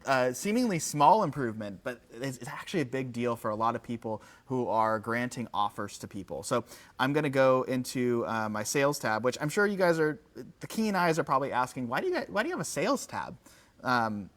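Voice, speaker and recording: A man giving a casual live software demo, with an enthusiastic, informal, conversational manner. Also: medium pitch. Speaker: company executive presenting a livestream.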